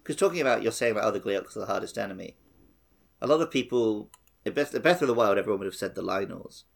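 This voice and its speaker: A man's voice: fairly low pitch, expressive, fast talker, creaky. A man co-hosting a casual gaming podcast, explaining his opinions conversationally. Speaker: podcast co-host.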